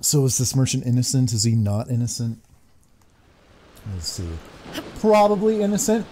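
A man's voice: mid-range voice, sing-song, normal speed, warm tone, slight background noise. A man around his thirties giving casual, musing commentary as he plays a video game. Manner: laid-back, musing. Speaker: gaming host. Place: indoor room at home. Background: game music.

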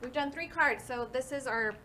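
A woman's voice: sits high, moderate pace, bright, forceful tone, slight background noise. A middle-aged woman co-hosting a casual kids' craft livestream, upbeat and encouraging as she guides a child through each step. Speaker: co-host and mother of the young crafter.

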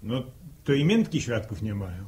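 A man's voice: on the low side, sing-song, moderate pace, darkish tone. An actor playing a mild, curious village man who speaks in a hesitant, questioning way in an old radio drama. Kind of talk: 1960 radio drama acting.

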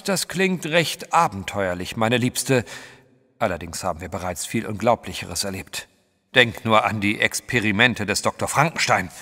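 A level-headed gentleman hero of an audio drama. His delivery is forceful and lively in pitch, emphatic and solemn, with a long reverb around it. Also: gravelly, exaggerated voice.

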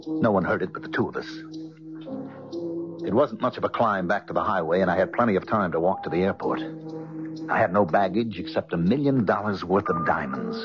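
An actor playing a desperate, cold-blooded killer on the run in a 1970s radio drama, speaking in a brooding, dramatic way. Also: male voice, deep voice, expressive, fairly quick. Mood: brooding narration. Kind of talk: 1970s radio drama acting, narrating and in scene. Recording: dull restored radio broadcast with music.

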